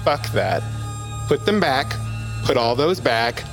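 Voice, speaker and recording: An animated, swooping voice of a cocky young male space traveller played by an actor, easily flustered and defiant. He stammers, exclaims and curses his way through a sci-fi audio drama, with music playing behind him. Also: mid-range voice, slow pace, exaggerated voice. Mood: defiant, defensive. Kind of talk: scripted audio drama acting.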